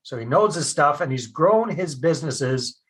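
A man hosts a podcast interview, full of upbeat praise and relaxed, casual questions. His voice sits a little high for a man and has a husky edge. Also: moderate pace. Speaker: podcast host.

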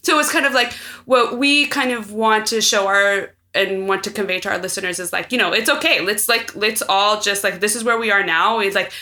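A bright, clear-voiced woman from the United States talks as a podcast guest. She rambles loosely and leans on fillers like "like" and "you know" as she goes. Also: mid-range voice, fairly quick, few pauses. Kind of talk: casual podcast chat.

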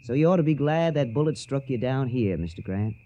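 A man playing a gentle, wistful young soldier in a radio drama, speaking in a measured, reflective way. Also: mid-range voice, expressive, dull audio, close to an everyday voice. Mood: wistful, resolute. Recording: noisy old radio drama recording.

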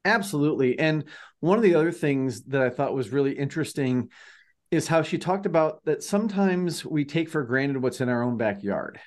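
A man's voice: on the high side, lively intonation, normal speed. A man with an American accent co-hosting a podcast in a casual, conversational way. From United States. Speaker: podcast co-host.

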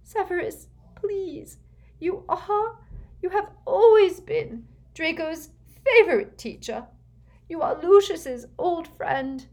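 A woman's voice: extremely high pitch, measured pace, hardly any pauses, voice pushed up. A woman voicing a desperate, tearful aristocratic mother in an audiobook, pleading and sobbing. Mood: desperate, begging. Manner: sobbing, beseeching. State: crying.

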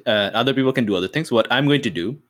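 A man's voice: mid-range voice, expressive, racing. A man playing a tabletop roleplaying game, chatting casually and describing what a character does.

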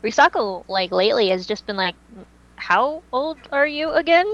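A woman's voice: fairly high pitch, lively intonation, normal speed, fairly bright tone. Woman chatting casually on lo-fi audio. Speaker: podcast host. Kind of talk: casual podcast chat.

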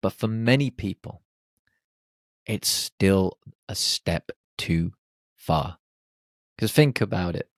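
A man hosting his own podcast, talking alone in a lively, animated way with plenty of exclamations. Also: deep voice, slow, pauses often, no room sound, studio quality. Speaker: podcast host. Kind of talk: solo podcast monologue. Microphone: podcast microphone.

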